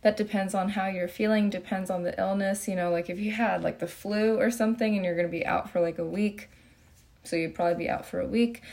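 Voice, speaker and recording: A young woman from Japan talking casually on a live stream. Her voice has a noticeable vocal fry. She chats easily and answers viewers as she goes. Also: on the low side. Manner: chatty and relaxed, with frequent fillers and laughs. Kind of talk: casual live Q&A chat, reading comments.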